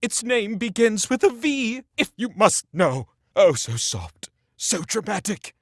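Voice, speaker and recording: A man's voice: high voice, sing-song, unhurried, no room sound, studio quality, heavily acted. A man voicing a vain, godlike talking wheel that hosts a trivia game in a campy, theatrical way. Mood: haughty, melodramatic. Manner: campy, dramatic, playful delivery. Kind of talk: theatrical game-show narration.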